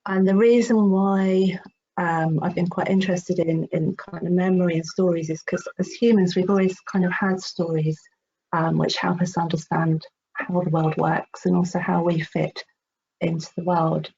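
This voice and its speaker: Woman w lots of vocal fry chatting thru a webinar talk, hesitant, faint noise, muffled. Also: on the low side, flat delivery, moderate pace, dark tone. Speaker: presenter, researcher. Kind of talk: informal online presentation. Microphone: video call microphone. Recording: dull video call with background noise.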